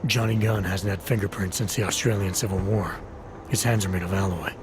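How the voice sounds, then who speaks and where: An actor playing a serious, guarded and quietly stubborn investigator in a video game, speaking in terse, deliberate lines. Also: male voice, sits low, fairly even intonation, noisy background. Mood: firm, explaining. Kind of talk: video game cutscene acting.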